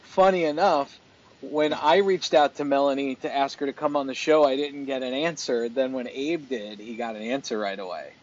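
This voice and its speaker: A man's voice: medium pitch, normal speed. A man co-hosting a talk show in casual, chatty banter. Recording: dull lo-fi stream audio.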